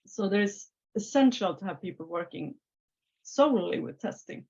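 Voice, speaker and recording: A woman's voice: medium pitch, normal speed, husky. Swedish-accented woman on a muffled call. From Sweden. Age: 30s. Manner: relaxed, many filler uhs and restarts. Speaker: guest. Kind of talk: casual interview talk. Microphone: video call. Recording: slightly muffled video call audio.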